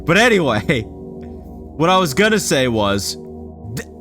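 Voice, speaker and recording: Casual male reaction commentator, animated and rambling, speaking over prominent background music. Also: fairly high pitch, takes it slowly. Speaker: reaction video creator. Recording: loud music under the voice. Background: background music from games.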